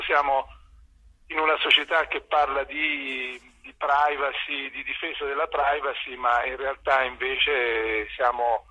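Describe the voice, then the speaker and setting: A man explaining in an interview, often pausing and repeating small words as he searches. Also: mid-range voice, slightly rough. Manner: hesitant, stutter-like repeats while searching for words. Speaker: translator and theatre director, interview guest. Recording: dull, lo-fi phone-in sound.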